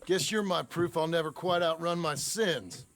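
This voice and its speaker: An actor playing a blunt, weary middle-aged outlaw in video game dialogue. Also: male voice, a little high, normal speed, a bit bright. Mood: gruff. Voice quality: gravelly. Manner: blunt, clipped. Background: game music.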